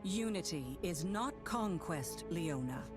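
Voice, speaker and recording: An actress playing a solemn, resolute moon warrior woman in a video game, speaking in a measured, grave, poetic way. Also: on the low side, expressive, music in the background. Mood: solemn, challenging.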